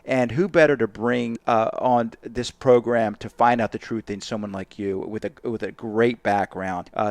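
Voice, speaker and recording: A man's voice: medium pitch, no room sound. Host: middle-aged man, rambling and chatty, close mic in a dry studio. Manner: chatty, rambling, restarts often. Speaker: radio host. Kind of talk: radio interview.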